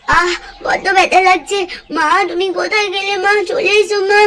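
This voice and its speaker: A woman's voice: very high voice, steady tone, moderate pace, fairly bright tone, voice pushed up. A woman voicing a mischievous little girl doll in a cartoon story, whining and pleading over and over. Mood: crying, pleading. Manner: whiny, repetitive pleading.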